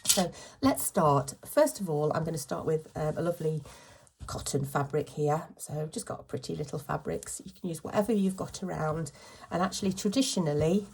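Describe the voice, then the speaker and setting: A British woman with a slight cold and a croaky voice, chatting informally through a hands-on craft tutorial. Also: sits low, brisk, darkish tone. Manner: chatty, informal, self-correcting. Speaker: craft channel host.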